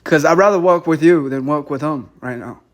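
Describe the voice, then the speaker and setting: A man's voice: fairly high pitch, fairly quick, a little hiss or hum. Earnest, insistent male caller. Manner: earnest, insistent, repeats himself. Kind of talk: phone call.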